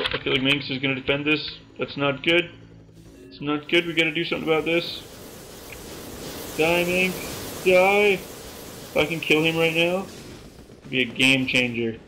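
A young man from Canada giving joking, self-mocking commentary over his own gameplay at home, now and then shouting at the screen. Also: mid-range voice, noisy background. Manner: joking, self-mocking, bursts of yelling at the game. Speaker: gaming YouTuber. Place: small room at home.

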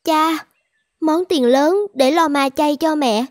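A woman playing a gentle young daughter in an animated folk tale. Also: high voice, takes it slowly, dry recording, studio quality. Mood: gentle concern.

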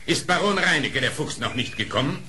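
A man's voice: medium pitch, lively intonation, brisk, very noisy, natural voice. An actor playing a stern, regal lion king in a fairy-tale audio play, speaking in a pompous, formal way. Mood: suspicious. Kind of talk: German fairy-tale radio play acting.

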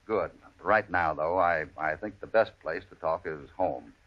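An actor playing a calm, courteous cowboy hero with an American accent and a measured, folksy manner in an old radio western. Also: male voice, low voice, over music. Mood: curious, polite. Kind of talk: 1940s-50s radio western acting. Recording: dull vintage radio recording.

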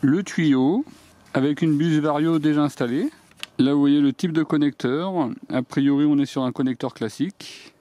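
A man's voice: a little high, moderate pace, warm tone. A man giving a hands-on, step-by-step demonstration of a tool outdoors on a garden deck, talking straight to viewers. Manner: plain step-by-step explaining, addresses viewers directly. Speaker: DIY video host.

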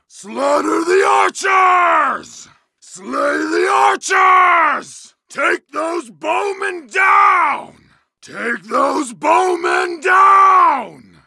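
An actor playing a feral wolf-worshipping berserker warrior in a video game, with a gravelly, raspy voice and snarling, bellowing battle shouts. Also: male voice, very high-pitched, no room sound, studio quality, full character voice. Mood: war cries.

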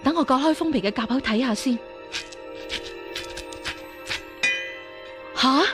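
An actress playing a stern, shrewd martial-arts nun of royal birth, measured and commanding, in a Cantonese radio drama. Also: female voice, high-pitched, over music, lightly acted. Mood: curious. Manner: measured, authoritative.

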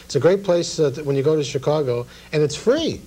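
A middle-aged American man hosts a casual studio interview. His tone is mellow and relaxed, sitting slightly higher than most men's. He chats conversationally as a talk show host. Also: a little fast, slightly rough. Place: TV studio at a round table. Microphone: lapel.

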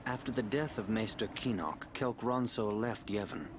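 An actor playing a calm, guarded but kindly older priest in a video game, with measured delivery. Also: male voice, on the low side, background noise, lightly acted. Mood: grave. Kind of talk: video game cutscene acting.

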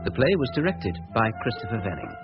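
A man's voice: on the low side, very animated, brisk. A man reading scripted credits as a radio announcer. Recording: dull old broadcast with music. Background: music.

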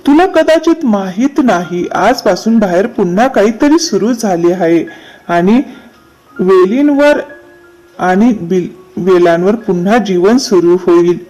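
Male actor as resourceful owl for children's animation, unhurried delivery, repeats key words as he advises. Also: high-pitched, lively intonation, mellow, faint music behind, rough recording. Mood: reassuring. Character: wise, resourceful male owl giving advice. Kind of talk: children's cartoon story dialogue.

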